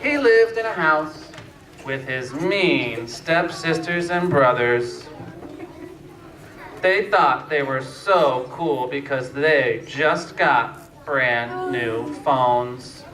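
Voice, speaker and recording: A man narrating a scripted fairytale slowly and deliberately, with pauses, as a storyteller. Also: medium pitch, pushed and bright. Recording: echoey room, distant mic, faint noise.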